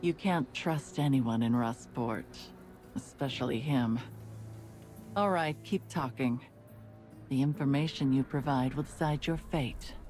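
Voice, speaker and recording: A woman voicing a cold, ruthless young gang leader who interrogates captives in a slow, measured, commanding way. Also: a bass voice, darkish tone. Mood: menacing. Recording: game voice with faint music.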